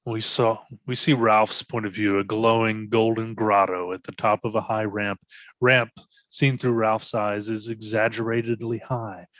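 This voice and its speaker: A man narrating scripted stage directions for a readers theatre piece in a measured, descriptive way. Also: fairly low pitch, little pitch movement, fairly bright tone, no room sound, muffled recording.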